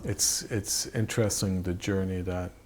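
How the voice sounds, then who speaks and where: Low-voiced man, halting and thoughtful. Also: steady tone, music in the background. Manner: halting, thoughtful, trailing off and repeating phrases. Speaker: writer and director. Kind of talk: reflective documentary interview.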